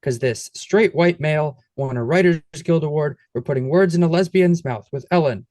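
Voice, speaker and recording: A man hosting a comedy podcast, delivering a rapid string of puns with playful relish. His voice sits a little high for a man. The recording is dry and close, with no room sound. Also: darkish tone, dry recording. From United States. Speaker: podcast co-host. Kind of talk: scripted pun-filled guest introduction, then casual chat.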